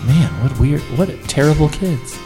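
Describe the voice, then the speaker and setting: A man's voice: a little high, normal speed, music in the background, voice pushed up, lightly acted. A man playing a snobbish, principled foodie parent in improvised radio theater, with a smug, pompous manner. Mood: indignant.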